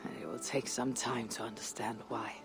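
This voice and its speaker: A woman playing a grave, introspective Viking warrior in a video game, husky and breathy, speaking in solemn, deliberate phrases. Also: rumbling low, steady tone. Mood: earnest, warm. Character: weathered female Viking warrior, grave, introspective, loyal leader. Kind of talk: dramatic video game acting. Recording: music behind the voice.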